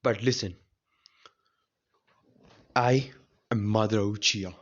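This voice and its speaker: A smug old ninja ghost, as a man plays him, speaks in a slightly lowered, measured voice. The sound is dull and lo-fi. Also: steady tone.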